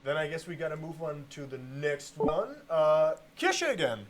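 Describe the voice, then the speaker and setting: A man's voice: fairly high pitch, expressive, normal speed. A man whose first language is not English, moderating a casual Q&A and joking between remarks. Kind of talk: casual chat.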